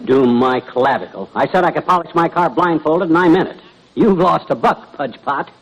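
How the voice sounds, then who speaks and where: An actor playing a cocky, bragging husband in 1940s radio comedy, wisecracking and teasing. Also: male voice, on the high side, lively intonation, normal speed, dull audio. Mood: smug. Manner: boastful, wisecracking, teasing nicknames. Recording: noisy old radio recording before studio audience.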